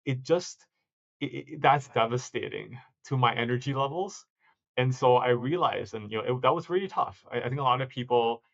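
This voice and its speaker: A man's voice: mid-range voice, normal speed, gravelly. Reflective man, slightly muffled sound. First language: not stated. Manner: reflective, many restarts and self-corrections. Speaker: startup founder, guest. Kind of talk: conversational interview answers.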